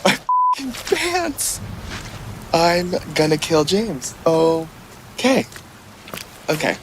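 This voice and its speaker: A man's voice: high voice, wildly swooping pitch, extremely slow, very noisy, full character voice. A man acting an exasperated young man muttering and sighing to himself in a fiction podcast, out in pouring rain. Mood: frustrated, muttering. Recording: audio drama with loud sound effects behind. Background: rain and sound effects.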